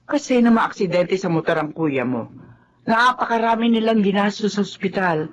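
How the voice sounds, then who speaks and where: An actress playing a middle-aged mother who gently pleads with her daughter for money. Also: medium pitch, normal speed, slight background noise, close to an everyday voice. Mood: pleading. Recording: dull lo-fi with faint ambience.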